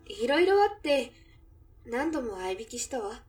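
A woman's voice: on the high side, sing-song, unhurried, darkish tone, far from the mic, faint music behind. A woman playing a wistful, reflective cafe owner remembering a lost love in a Japanese audio drama. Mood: wistful, nostalgic. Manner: reflective, feminine, emotive storytelling.

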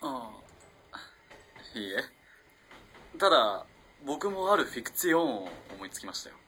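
A man's voice: mid-range voice, big pitch swings, unhurried, bright, forceful tone, a little hiss or hum. An actor playing a polite, earnest, easily flustered young male student in a radio drama. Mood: thoughtful, earnest. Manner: polite, eager, hesitant. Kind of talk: Japanese radio drama acting.

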